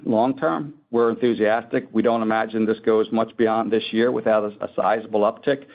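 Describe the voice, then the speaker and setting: A man speaking as a company executive, answering analysts on an earnings call in a measured, careful corporate manner. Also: lowish voice, fairly even intonation. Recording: dull, lo-fi conference call audio.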